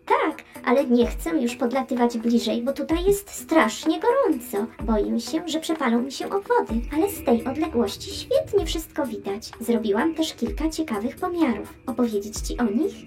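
A woman's voice: high-pitched, big pitch swings, normal speed, few pauses, darkish tone. A woman voicing an intelligent, friendly female space probe, explaining simply in a scripted children's science lesson. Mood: cautious, eager. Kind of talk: scripted educational narration. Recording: music playing behind the voice.

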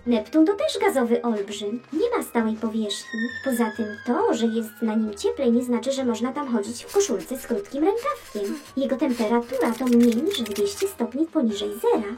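A woman's voice: fairly high pitch, sing-song, moderate pace, few pauses, mellow, soft background music. An actress playing a chatty, curious female space probe with a wry, teasing manner in a children's educational audio drama. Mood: wry, exasperated. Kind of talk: children's educational radio play.

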